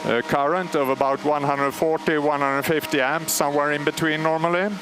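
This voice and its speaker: A man's voice: a little high, fairly even intonation. Swedish man explaining machinery over noise. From Sweden. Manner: measured, explanatory, frequent pauses and fillers. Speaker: superintendent of a historic radio transmitter start-up. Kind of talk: live technical commentary. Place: large machine hall at a radio station. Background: humming machinery and alarm bells.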